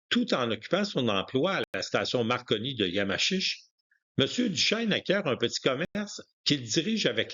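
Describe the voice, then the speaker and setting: A man delivers scripted documentary narration about the past in a mid-pitched voice. The recording is dull and lo-fi, with limited detail in the sound. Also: sharp tone.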